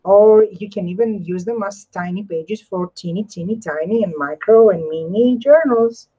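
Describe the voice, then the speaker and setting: Husky Greek-accented woman, casual crafting host. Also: medium pitch, moderate pace, narrow, tinny audio. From Greece. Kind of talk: casual live craft demonstration with chat.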